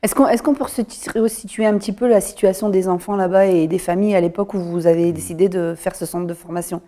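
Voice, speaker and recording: Casting: local news anchor, woman, quick delivery, mellow tone. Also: lowish voice, fairly even intonation. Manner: brisk, scripted questions. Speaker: TV news presenter. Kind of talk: TV interview hosting. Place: TV studio.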